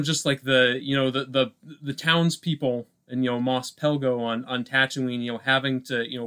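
A man co-hosting a podcast in a casual film discussion, talking haltingly with repeated words and lots of filler phrases. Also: medium pitch, fairly bright tone. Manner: halting, repetitive, peppered with "like" and "you know". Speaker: podcast co-host.

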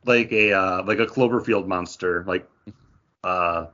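Male co-host, a little low, easygoing casual discussion with lots of "like", dull lo-fi recording. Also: a little slow, bright, forceful tone. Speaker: podcast co-host.

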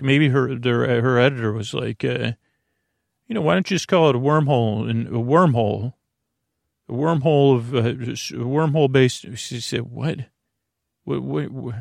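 A man hosting a sleep podcast, with a gravelly voice and a halting, meandering delivery that stammers, repeats words and trails off. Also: mid-range voice, dry recording, clean studio sound. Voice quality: gravelly, creaky. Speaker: host of a bedtime sleep podcast. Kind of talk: rambling bedtime monologue.

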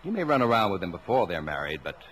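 A man's voice: sits low, very animated, fast, fairly bright tone. An actor playing a strict, old-fashioned, sarcastic father of a big family in a 1950s radio drama. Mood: lecturing. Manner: sarcastic, indignant, emphatic. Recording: noisy old radio broadcast.

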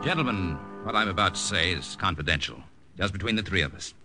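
An actor playing a grave, authoritative officer type in a 1950s radio drama. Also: male voice, low voice, expressive, fast talker. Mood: measured, confidential. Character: grave, authoritative army captain and dramatic announcer. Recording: bright old radio recording, faint music.

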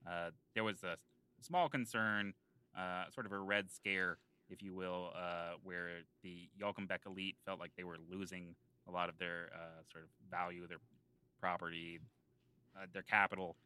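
A man's voice: deep voice, fairly even intonation, moderate pace, sharp tone, clear, resonant tone. A man playing a self-serious island local who explains town lore in long, hesitant, mock-scholarly rambles on an improvised comedy podcast. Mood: earnest, rambling.

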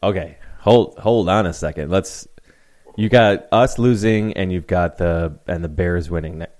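A man co-hosting a casual sports podcast, relaxed and given to teasing banter. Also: deep voice, unhurried. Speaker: podcast co-host.

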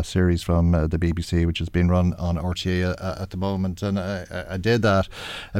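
Middle-aged Irish male radio interviewer, low voice, measured and halting, dry close studio sound. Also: a little mellow, dry recording. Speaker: radio show host. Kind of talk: radio current affairs interview.

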